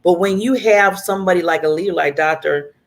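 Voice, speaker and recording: A woman hosts a talk show with lively, colloquial enthusiasm. She leans hard on her key phrases and laughs freely between her remarks. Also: fairly low pitch, fairly quick.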